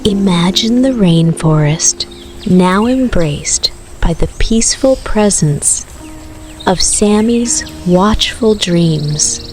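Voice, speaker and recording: A woman narrating a scripted bedtime story for toddlers in a soothing, gentle, unhurried manner. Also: mid-range voice, expressive. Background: music with rainforest night sounds of rain, crickets and frogs.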